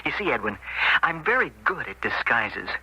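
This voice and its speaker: Cocky young American hustler, radio drama, very sharp raspy voice, theatrical, self-satisfied. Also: male voice, medium pitch, very animated, normal speed, exaggerated voice, low-quality recording. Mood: boastful. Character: boastful young American actor turned card hustler. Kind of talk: 1970s radio drama acting. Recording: old 1970s radio broadcast.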